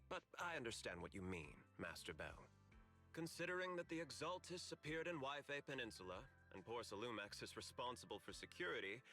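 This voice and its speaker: An actor playing a smooth, courteous male company manager in a video game, speaking in a formal, diplomatic manner. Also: mid-range voice, pushed and bright. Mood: measured, candid. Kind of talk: scripted video game voice acting.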